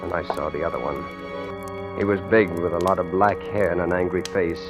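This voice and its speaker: Frightened everyman for vintage radio thriller: low-pitched, hesitant, haunted first-person delivery, music behind. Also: brisk. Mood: tense dread. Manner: hesitant, stammering. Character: frightened ordinary husband mistaken for a killers' client. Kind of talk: 1940s radio acting, first-person suspense narration. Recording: old-time radio recording with music.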